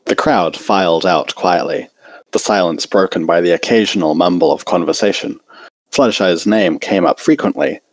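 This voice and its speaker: A man's voice: sits low, normal speed, no room sound, low-quality recording. A man reading fan fiction aloud as an amateur story narrator.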